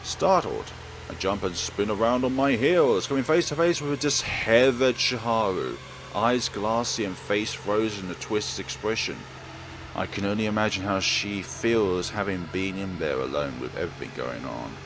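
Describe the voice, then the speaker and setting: A man's voice: fairly low pitch, moderate pace, background noise. A man hosting a let's play, narrating and commenting on a visual novel in a theatrical, emphatic way. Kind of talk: dramatic game narration and commentary.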